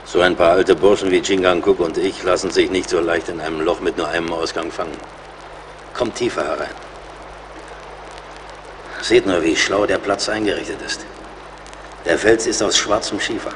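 A man's voice: low-pitched, steady tone, moderate pace, pauses often, close to an everyday voice. A man playing a middle-aged, wry and watchful frontier scout in a German audio drama. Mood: calm, wry. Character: seasoned frontier scout, wry, plain-spoken, watchful, loyal. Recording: reverberant, noisy outdoor-like ambience.

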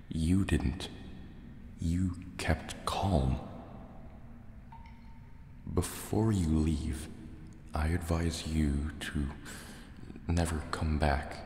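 A man voicing a huge, proud, wary sea serpent dragon in a soft-spoken, menacing ASMR roleplay, deliberate and a little hesitant. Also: extremely low pitch, a little hiss or hum, exaggerated voice. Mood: stern. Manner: slow, deliberate, threatening yet hesitant. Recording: roomy cave reverb, faint water ambience. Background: water sounds and cave ambience.

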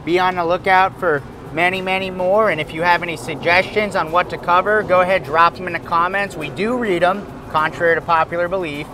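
A man's voice: high voice, fairly even intonation, brisk, hardly any pauses, background noise. A man in his thirties hosting casually, presenting outdoors on a lapel mic. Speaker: host. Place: outdoors at a marina dock. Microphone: clip-on lapel mic.

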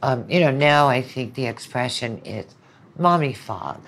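A woman's voice: a bass voice, expressive, measured pace, vocal fry. A middle-aged woman guest, a lecturer, explaining her opinions conversationally with anecdotes and quoted asides. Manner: opinionated, analytical, quotes imagined speakers.

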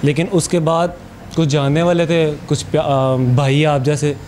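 A man's voice: on the high side, little pitch movement, unhurried, a little mellow. A man in his twenties with a Pakistani accent giving informal interview answers as a chef, mixing in English phrases. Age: 20s. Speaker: chef and culinary student. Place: small restaurant kitchen. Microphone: interviewer's handheld microphone. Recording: faint background noise.

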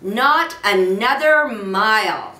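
Stubborn storybook dad, voiced by a woman, emphatic and animated, in a roomy space. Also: mid-range voice, takes it slowly. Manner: firm, emphatic. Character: stubborn, tired father defending the hotel. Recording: roomy, distant mic with faint noise.

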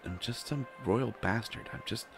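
A man's voice: fairly low pitch, moderate pace, vocal fry. A man playing an anxious, stammering young prince who is reluctant to become king, in a roleplay audio drama. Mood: pleading, despondent. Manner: flustered, hesitant, stammering, sighing. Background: faint music.

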